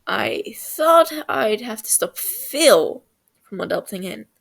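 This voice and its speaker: A gruff, blunt young man with a low, flat delivery and a gravelly edge, put on by a woman reading a story aloud. He talks slowly and dryly, like a tired older brother in a storybook. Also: full character voice.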